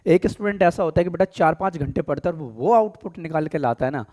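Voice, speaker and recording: A male teacher with an Indian accent and a hoarse, worn voice that sits a little high. He speaks softly and deliberately, stops to clear his throat, and addresses his students with fond, familiar words. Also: lively intonation. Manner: affectionate, calls students "beta", clears throat often; deliberately soft, slow speech. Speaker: online exam-prep English teacher. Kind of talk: live online class, Hindi-English mix.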